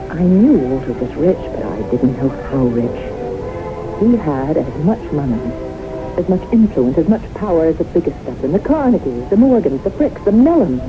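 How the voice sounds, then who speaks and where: An actress playing a reserved, haunted middle-aged secretary recounting her life in measured, grave radio drama style. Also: low-pitched. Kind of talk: 1970s radio drama acting, narration and dialogue. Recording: noisy muffled old radio broadcast recording.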